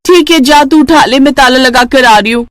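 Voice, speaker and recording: A woman's voice: high voice, normal speed, slight background noise, pitched above the performer's own voice, lightly acted. A woman voicing a haughty, boastful rich elder sister who bosses people around in a storybook tale. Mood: bossy. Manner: boastful, ordering, dismissive.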